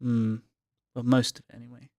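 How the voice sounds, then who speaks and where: A man's voice: medium pitch, completely flat delivery, moderate pace, a little mellow, no echo, studio quality. A man chatting casually as he co-hosts a live gaming stream.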